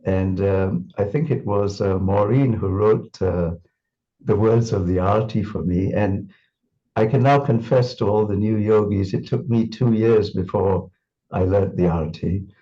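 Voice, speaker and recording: A man's voice: fairly low pitch, measured pace, dark tone, muffled recording. A man from Singapore reminiscing in an interview, thoughtful and full of restarts and fillers. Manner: thoughtful, repeats words, many fillers.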